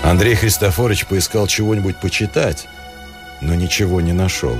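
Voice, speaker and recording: Actor as a low-voiced, reserved literary gentleman. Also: normal speed, over music, close to an everyday voice. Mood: wry narration. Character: reserved, polite city professor and radio play narrator. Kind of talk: literary narration and radio drama.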